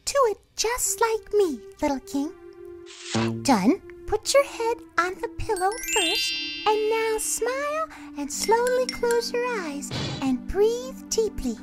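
A little royal child from a cartoon, playful and bold yet easily spooked. The voice is very high and lively in melody, with slow, childlike phrasing over music. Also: female voice, heavily acted. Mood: sleepy. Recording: music under the voice.

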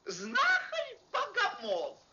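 Boastful cartoon insect healer: extremely animated swoops, drawn-out sing-song, dull noisy vintage sound. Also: extremely high pitch, vocal fry, full character voice. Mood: sly, oracular. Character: eccentric praying mantis quack healer, boastful. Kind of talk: theatrical animated film voice acting.

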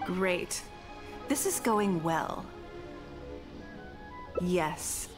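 An actress voicing a poised, shrewd noble leader in a video game, speaking in a composed, measured, authoritative way, with music playing behind. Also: female voice, on the low side, lively intonation. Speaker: game character.

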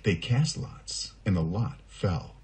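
A man reading scripture as a dramatic narrator for a children's puppet show, with an expressive delivery. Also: low-pitched, slow.

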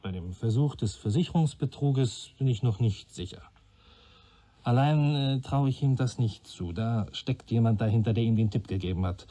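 An actor playing a keen young police trainee in a German radio play, arguing in a wry, sardonic way. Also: male voice, mid-range voice, normal speed, warm tone, close to an everyday voice. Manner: wry, argumentative.

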